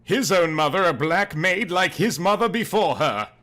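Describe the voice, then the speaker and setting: Animated bright-voiced male comic narrator. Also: high voice, normal speed. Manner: theatrical, overemphatic. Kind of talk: mock-serious comic audiobook narration.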